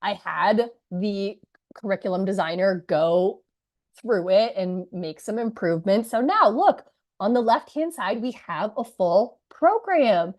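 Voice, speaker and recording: A woman in her thirties, hoarse from a cold, telling an audience the story of her launch in a chatty, energetic way with many asides. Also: medium pitch, measured pace. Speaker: business coach presenting her launch story. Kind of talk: live presentation to an audience.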